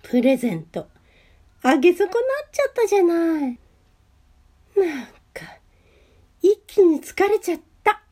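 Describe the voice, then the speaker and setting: A woman's voice: very high voice, very animated, a little slow, pauses often, a little mellow, voice pushed up, full character voice. A woman playing a proud, lovesick teenage schoolgirl in an amateur radio drama, talking to herself dramatically. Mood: dejected. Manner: dramatic, tsundere-like, talks to herself.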